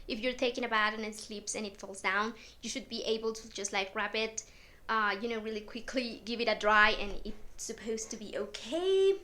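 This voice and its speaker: Young woman book vlogger, English not her first language, higher voice, loose chatty delivery full of fillers. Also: a bit bright. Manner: chatty, many fillers and little laughs. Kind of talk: casual chat.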